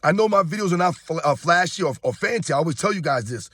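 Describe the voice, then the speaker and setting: A hoarse man in his thirties, a sports YouTuber delivering a casual, emphatic opinion rant straight to his viewers and calling them guys. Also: on the high side, animated, fast talker, fairly bright tone, no room sound, studio quality.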